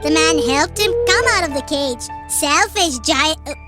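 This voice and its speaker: A performer voicing an eager, chatty young child in a children's cartoon, expressive and childlike. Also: sits high, a little slow, music in the background. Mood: indignant.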